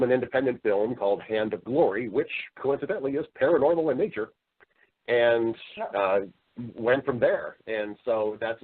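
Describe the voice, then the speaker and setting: A man's voice: lowish voice, husky. An American man telling his story casually as an interview guest, chatty and rambling, often repeating words. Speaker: interview guest, voice actor and entertainer. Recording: muffled, narrow phone-like sound.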